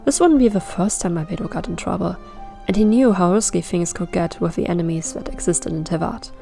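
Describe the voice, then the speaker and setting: A woman's voice: lowish voice, brisk, vocal fry, warm tone. A woman reading fan fiction aloud as scripted story narration. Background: background music.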